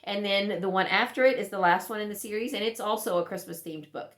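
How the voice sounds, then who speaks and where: A woman's voice: medium pitch, fast. A middle-aged woman chatting casually to viewers as a book vlogger, in a rambling, conversational way. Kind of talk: casual chat to viewers about books.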